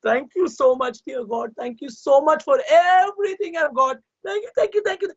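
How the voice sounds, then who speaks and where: A man's voice: very high voice, moderate pace, voice pushed up. A man voicing a greedy middle-aged king who stammers and pleads in panic or gushes with joy. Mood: overjoyed. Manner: stammering, pleading, then overjoyed. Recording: dull lo-fi recording.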